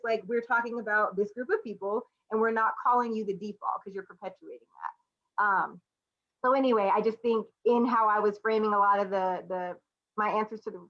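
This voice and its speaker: A woman's voice: medium pitch, fairly bright tone. A woman speaking casually in a panel discussion, candid and rambling, often acting out exchanges as quoted dialogue. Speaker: artist and actor on a discussion panel. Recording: dull, lo-fi call audio.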